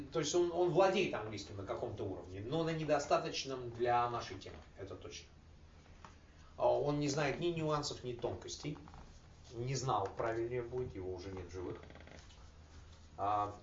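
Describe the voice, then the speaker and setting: A man speaking casually to a recovery group, telling jokes and using slangy, coarse words. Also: mid-range voice. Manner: animated, laughing, slangy and coarse. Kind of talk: casual joking talk to a group. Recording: roomy, distant, dull with faint noise.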